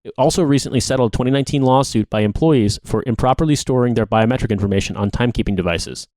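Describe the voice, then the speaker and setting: A man's voice: mid-range voice, fast, a little mellow, no room sound, studio quality. A man co-hosting a podcast, reading a long article aloud. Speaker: podcast co-host.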